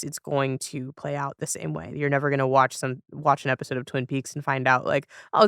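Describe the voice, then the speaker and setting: Clear-voiced woman hosting, rambling and thoughtful. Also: low voice, no room sound, clean studio sound. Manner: rambling, self-correcting as questions form. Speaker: podcast host. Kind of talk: casual, reflective interviewing.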